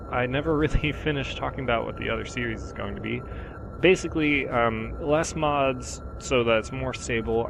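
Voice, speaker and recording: A man with a sore, sick throat talks through his gameplay in a casual, meandering voiceover, stopping often for ums and uhs. His middle-pitched voice sits on a gravelly, creaky edge. A steady hiss of noise runs underneath the recording. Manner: relaxed, rambling, many ums and uhs. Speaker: gaming channel host.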